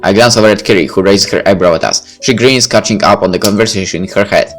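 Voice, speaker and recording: A man's voice: on the low side, fast talker. Man reading a visual novel over music. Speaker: let's play narrator. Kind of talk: reading a visual novel aloud, with casual asides.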